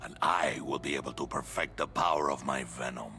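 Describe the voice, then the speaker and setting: A hulking, power-hungry supervillain for a video game. His voice is extremely low, grim and portentous, with music clearly behind it. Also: brisk, sharp tone, full character voice. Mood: menacing. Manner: stern, clipped, portentous.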